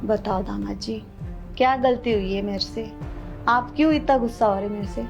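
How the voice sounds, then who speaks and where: A woman's voice: medium pitch, moderate pace, gravelly, natural voice. A woman playing a wronged mother-in-law in a melodramatic family drama, respectfully and persistently pleading for an explanation. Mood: imploring. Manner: imploring, respectful, persistent questioning. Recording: dramatic music underneath.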